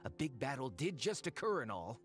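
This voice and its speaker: An actor playing a slick, smug and impatient researcher in an anime game, with glib, affected politeness. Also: male voice, mid-range voice, sing-song, a little fast, faint music behind, lightly acted. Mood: impatient, dismissive.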